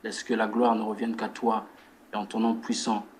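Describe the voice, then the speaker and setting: A man, a guest who speaks in a measured way and leads a spoken prayer. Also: lowish voice, monotone, slight background noise. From Democratic Republic of the Congo. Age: 20s. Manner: measured, repeats words while searching for phrasing. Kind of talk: religious interview and spoken prayer.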